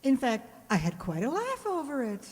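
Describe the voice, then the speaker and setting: Actress as wisecracking woman teacher, dry and arch, radio comedy, high voice, lively pitch, reverberant hall. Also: husky, heavily acted. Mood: sardonic. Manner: dry, arch, quick with quips. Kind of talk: old-time radio comedy acting.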